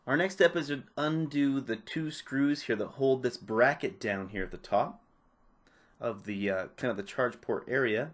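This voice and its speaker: A man's voice: mid-range voice, moderate pace. A man presenting a repair tutorial, giving casual, informal step-by-step hands-on instructions. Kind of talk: casual hands-on how-to narration.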